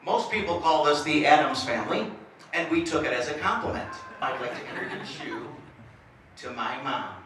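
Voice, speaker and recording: A man's voice: a little high, animated, moderate pace, heavy background noise. A middle-aged man giving a comedic stage talk, with a slight stutter and self-mocking jokes. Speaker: motivational speaker. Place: presentation hall. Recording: echoey hall, mic from far off.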